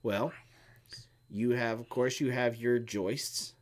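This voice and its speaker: A man's voice: medium pitch, fairly even intonation, slow pace. A middle-aged man co-hosting a homestead live stream, chatting and answering questions in a rambling, explanatory way with lots of fillers. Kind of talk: casual chat and Q&A.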